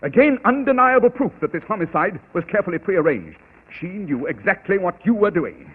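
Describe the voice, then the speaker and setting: Brief: a cool, clipped detective, raised voice, lively swings, tinny old radio sound. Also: male voice, high voice, a little fast, breathy edge, full character voice. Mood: explaining. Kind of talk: 1940s radio acting.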